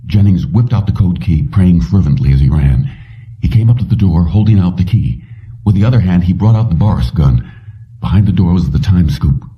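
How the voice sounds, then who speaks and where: A man with a very low voice narrates an audiobook in measured, dramatic phrases. The recording is slightly muffled and sounds a little away from the mic. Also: distant mic. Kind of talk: scripted narration of a suspense story.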